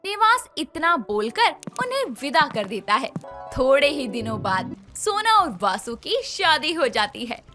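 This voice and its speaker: A woman narrating a cartoon story in a scripted, expressive way. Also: high-pitched, measured pace, soft background music.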